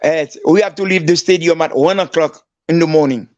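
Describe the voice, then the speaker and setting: An older man from Haiti tells his story slowly, pausing as he goes. His voice sits high for a man and sounds close and dry, with no room around it. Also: a little mellow, no room sound, dull audio. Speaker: guest. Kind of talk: storytelling in conversation.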